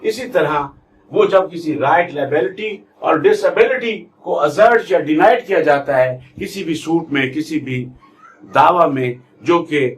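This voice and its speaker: Slow-paced Pakistani man teaching law. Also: fairly high pitch, slight background noise. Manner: didactic, reads definitions out word by word then explains. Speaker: law lecturer. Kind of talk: explanatory law lecture mixing Urdu and English.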